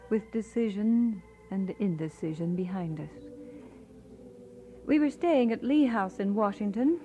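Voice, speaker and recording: An actress playing a poised, gently teasing woman in an audio drama. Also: mid-range voice, a little hiss or hum, natural voice. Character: poised, gently teasing women: church secretary, pastor's bride, narrator. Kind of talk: dramatised radio-play acting.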